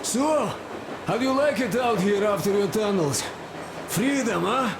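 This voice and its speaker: Animated rambling drifter, high-pitched. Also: male voice. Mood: opinionated, chatty. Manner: rambling, halting, colloquial. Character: plain-spoken rough wanderer, adult man, chatty, loyal, wary. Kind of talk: game cutscene dialogue. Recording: noisy, echoey, vehicle-like ambience behind.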